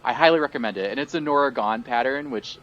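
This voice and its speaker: A man's voice: mid-range voice, fast talker, fairly bright tone, dull audio. A man co-hosting a casual chat podcast, rambling and full of fillers while he is losing his voice. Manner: chatty and rambling, many fillers. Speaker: podcast co-host.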